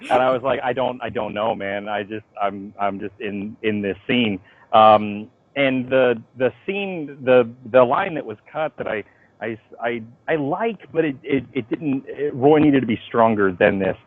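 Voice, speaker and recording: A man being interviewed by phone as a writer, talking in an informal, halting but emphatic storytelling way. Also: mid-range voice. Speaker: interviewee, comic book writer. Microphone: phone call. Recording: dull lo-fi phone call.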